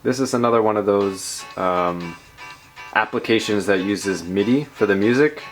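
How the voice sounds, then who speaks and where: A man's voice: lowish voice, moderate pace, soft background music. A man hosting a casual tech show-and-tell, talking informally in rambling asides. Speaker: tech video host.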